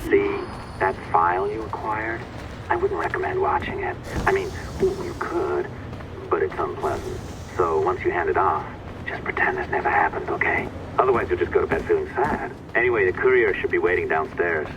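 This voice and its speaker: A man's voice: low-pitched, big pitch swings, normal speed, heavy background noise. A man playing a glib, teasing office hacker in a video game, chatting casually with sly condescension. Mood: flippant, teasing. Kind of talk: scripted casual game dialogue.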